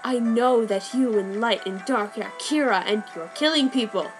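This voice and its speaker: A woman's voice: fairly high pitch, moderate pace, close to an everyday voice. A woman voicing a scheming teenage girl who blackmails, in a sly, teasing way. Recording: background music.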